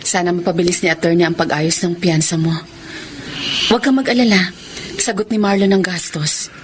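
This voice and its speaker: A woman's voice: fairly low pitch, fairly even intonation, a little fast, close to an everyday voice. A woman acting a worried, caring but reproachful wife and mother in a Filipino radio drama, emotional and pleading. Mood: subdued, caring. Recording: radio drama with clear background noise.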